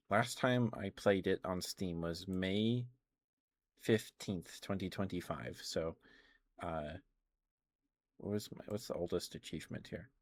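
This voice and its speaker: A man streaming ASMR, chatting casually in a rambling, hesitant way into a close microphone. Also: sits low. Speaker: live streamer. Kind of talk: ASMR, casual rambling chat to live viewers.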